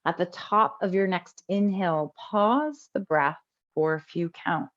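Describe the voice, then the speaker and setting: A woman teaching a guided breathing meditation in a calm, unhurried way with long pauses between instructions. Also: lowish voice, highs cut off.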